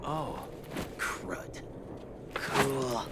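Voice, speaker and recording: A man's voice: mid-range voice, lively intonation, extremely slow, very noisy. A man voicing a goofy, laid-back teenage mutant turtle with a slangy surfer-dude drawl in an action game. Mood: goofy, uneasy. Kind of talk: animated action game voice acting. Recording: loud music behind.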